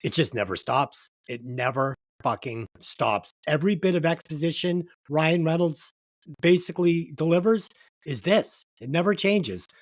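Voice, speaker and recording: A man's voice: a little high, dry recording. A man co-hosting a podcast in casual, conversational chat. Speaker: podcast co-host.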